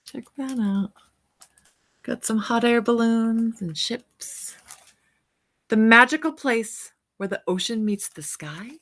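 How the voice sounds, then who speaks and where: A woman's voice: on the high side, animated, slow, fairly bright tone. A woman reading a picture book aloud as a teacher-storyteller, stretching out words and pointing out details to young listeners.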